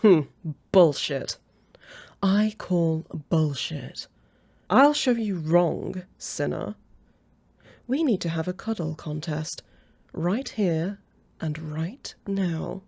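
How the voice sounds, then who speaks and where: Woman playing a slow, mellow, haughty female vampire. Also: fairly low pitch, animated, creaky, slightly rough, heavily acted. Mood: scornful. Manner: drawling, taunting, chuckling condescension. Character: haughty, possessive aristocratic female vampire, mocking and flirtatious. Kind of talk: roleplay ASMR audio drama.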